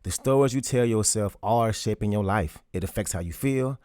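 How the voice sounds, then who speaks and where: Low-voiced man giving motivational talk. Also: normal speed, a little mellow, no room sound. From Louisiana, US. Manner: earnest and direct, with plain colloquial speech. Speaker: podcast host.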